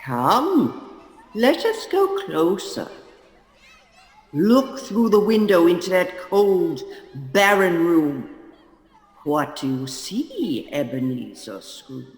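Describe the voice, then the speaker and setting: A man's voice: sits high, big pitch swings, slow, long pauses, full character voice. A man playing a grave, commanding ghostly spirit guide in a radio play, with formal speech and ghostly reverb. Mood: probing, grave. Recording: long echoing hall reverb.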